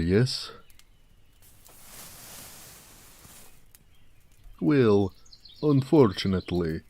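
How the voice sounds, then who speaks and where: A man playing a big, muscular orc blacksmith in an intimate audio drama, proud, gentle and affectionate, with courteous, slightly formal speech. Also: low-pitched, dry recording. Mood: warm, hospitable. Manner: unhurried, soft-spoken, courteous, slightly formal. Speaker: voice actor.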